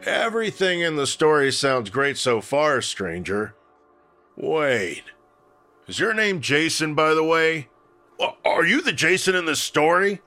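Bright, chatty bartender. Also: male voice, on the high side, big pitch swings, unhurried, faint music behind, full character voice. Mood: friendly. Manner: friendly, intrusive, apologetic. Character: chatty, eager-to-please bartender who owns a spooky bar. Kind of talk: comedy-horror radio play acting.

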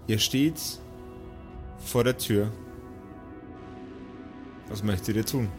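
A man's voice: fairly low pitch, takes it slowly. Man narrating as game master over music. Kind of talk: improvised tabletop role-play narration. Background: faint background music.

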